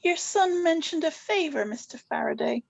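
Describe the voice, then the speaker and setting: Woman playing a high-voiced, commanding witch grandmother. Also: animated, moderate pace, creaky, full character voice. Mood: wary. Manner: composed, formal, pointed. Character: commanding, wary old witch, protective grandmother. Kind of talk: dramatic script table read. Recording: slightly dull, lo-fi recording.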